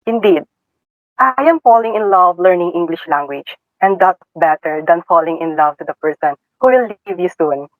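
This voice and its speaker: A young man with a Filipino accent and a high-pitched voice speaks as a student guest. His account sounds prepared, and the recording is dry. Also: animated, normal speed, no room sound, low-quality recording. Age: young adult. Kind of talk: prepared personal testimony.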